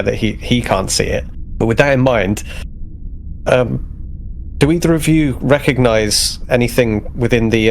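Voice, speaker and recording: A man's voice: lowish voice, vocal fry. A man chatting casually as a player in a tabletop game, slipping in and out of character. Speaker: tabletop role-playing player. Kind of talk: casual in-game chat and role-play. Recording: faint background noise.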